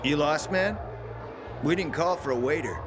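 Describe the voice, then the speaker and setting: An actor playing a pompous, refined and sly supply boss in a video game, speaking theatrically and with condescension. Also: male voice, on the high side, normal speed, noisy background, natural voice. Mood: haughty, dismissive.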